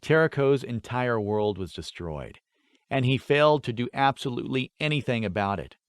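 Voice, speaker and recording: A man narrating a fantasy audiobook from a script, with deliberate, dramatic phrasing. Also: medium pitch, expressive, no echo.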